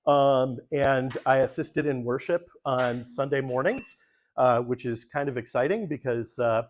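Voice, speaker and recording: A man's voice: medium pitch, unhurried. A man, a pastor, talking casually through a slide presentation at a church forum, with frequent ums and uhs. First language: English. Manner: relaxed, many ums, talks through slides.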